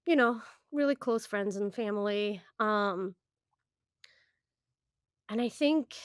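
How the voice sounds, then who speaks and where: A woman's voice: medium pitch, clean tone, dry recording, clean studio sound. A young adult woman from Iran, co-hosting a podcast in casual chat, talking candidly with many fillers and restarts. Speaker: podcast co-host.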